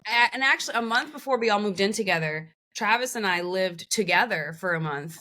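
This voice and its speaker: A woman's voice: medium pitch, lively intonation, brisk, pushed and bright. A woman from Hong Kong, a band member guesting on a podcast, talking wryly and laughing often. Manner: wry, self-deprecating, laughs often. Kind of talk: casual interview chat.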